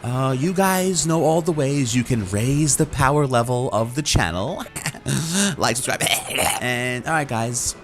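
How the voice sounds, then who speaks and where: A man hosting a gaming channel, talking casually and off the cuff in a rambling, halting way with repeated words. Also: medium pitch. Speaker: YouTube gaming channel host. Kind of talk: casual, unscripted chat to viewers.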